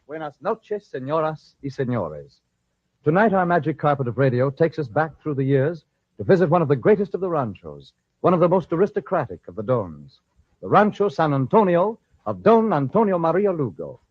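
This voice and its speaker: A male radio storyteller of the old school, narrating with dramatic flourish. His voice is a little high and very lively in pitch. The recording is dull and lo-fi. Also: moderate pace, no room sound.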